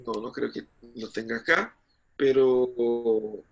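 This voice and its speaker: Husky Brazilian-born man lecturing. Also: mid-range voice, steady tone, slow, highs cut off. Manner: hesitant, many fillers and restarts. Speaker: Bible teacher. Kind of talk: online class lecture.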